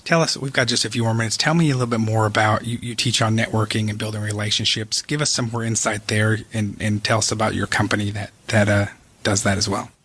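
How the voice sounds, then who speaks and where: A man's voice: fairly low pitch, level tone, fast, fairly bright tone, breathy edge. A man hosting a conversational podcast interview, affirming his guest and often repeating words as he goes. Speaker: interview host.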